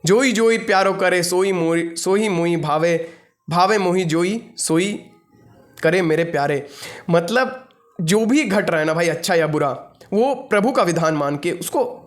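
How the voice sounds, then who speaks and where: A young man with a notably high voice delivers a spiritual discourse. He is earnest and instructive, moving between reading and informal explanation. He often repeats short phrases to press a point home. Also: a little slow. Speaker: spiritual teacher.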